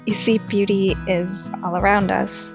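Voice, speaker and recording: A woman playing a playful, teasing young woman in an audio drama, speaking gently over guitar music. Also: mid-range voice, little pitch movement, unhurried, close to an everyday voice. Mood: gentle teasing.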